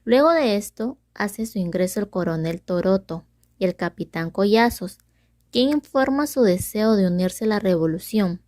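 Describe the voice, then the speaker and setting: A woman's voice: medium pitch, lively intonation, moderate pace, mellow, dry recording, studio quality. A young woman, a student presenter, reading a scripted story summary as narrator. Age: young adult.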